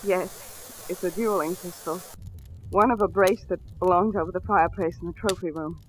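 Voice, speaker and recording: An actress playing an anxious, earnest young wife in a 1940s radio drama. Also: female voice, lowish voice, steady tone, normal speed, close to an everyday voice, rough recording. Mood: subdued, recounting. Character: anxious young wife protective of her husband, a judge's daughter. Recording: hissy old 1940s radio recording.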